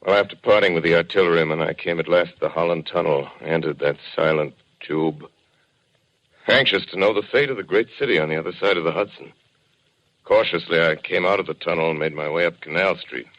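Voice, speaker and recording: A dull, band-limited 1930s radio recording carries a sombre, educated survivor. His voice is very deep, and his phrasing is grave, literary and deliberate, in the dramatic manner of old radio plays. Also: fairly bright tone. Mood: sombre, haunted. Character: sombre, educated male survivor recounting a desolate journey. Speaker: radio drama lead and narrator.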